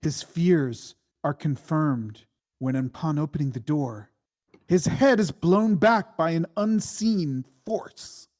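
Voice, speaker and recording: A man reading screenplay stage directions as dramatic narration, in a deliberate, suspenseful way. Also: fairly high pitch, a little mellow.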